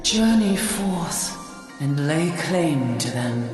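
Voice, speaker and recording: Slow, echoing motherly goddess. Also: sits low, expressive, full character voice. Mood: solemn, tender. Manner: stately, reverent, archaic diction. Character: ancient motherly crystal goddess, serene and solemn. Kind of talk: solemn fantasy game voice acting. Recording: heavy echo, orchestral music behind.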